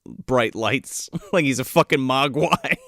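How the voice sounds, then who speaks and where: A man's voice: medium pitch, slow pace, fairly bright tone, dry recording, studio quality. A man co-hosting a casual movie review podcast, retelling scenes with profanity and lots of laughter. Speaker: podcast co-host.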